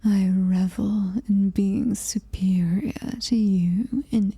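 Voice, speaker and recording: A British woman playing a coldly superior, menacing alien-human hybrid in a whispered ASMR horror roleplay, breathy, deliberate and taunting. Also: mid-range voice, steady tone, mellow, exaggerated voice. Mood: haughty, menacing.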